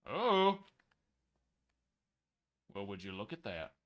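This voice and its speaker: A young man hosting a live card break, chatting casually and reading numbers off in a steady list, his voice a little worn. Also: fairly low pitch, takes it slowly, dull audio. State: losing his voice.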